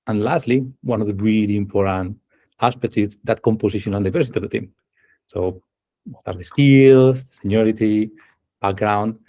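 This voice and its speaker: A man's voice: fairly low pitch, moderate pace, dark tone, lo-fi sound. A man from Spain with a Spanish accent, an engineering manager giving an online presentation over a video call and explaining step by step while putting questions to his audience.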